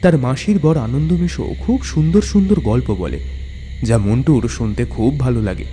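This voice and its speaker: A man's voice: mid-range voice, normal speed, dark tone, slight background noise. A man narrating a horror story in a dramatic, scripted storytelling style. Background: music.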